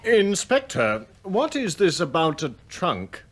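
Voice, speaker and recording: Curt, haughty English husband, animated. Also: male voice, sits high, takes it slowly, heavily acted. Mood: guarded. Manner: clipped, formal, indignant. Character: curt, impatient, haughty well-off English husband under suspicion. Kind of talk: radio play acting.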